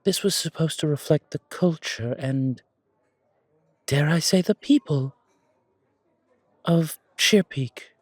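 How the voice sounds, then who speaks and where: Man acting out refined enigmatic confidant, audio roleplay, higher male voice, unhurried, polished theatrical phrasing, gracious. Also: no echo. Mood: pointed.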